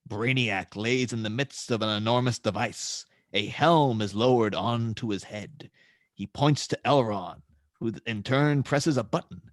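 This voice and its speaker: A man's voice: medium pitch, no echo, clean studio sound. A man narrating scene descriptions and stage directions for a script table read.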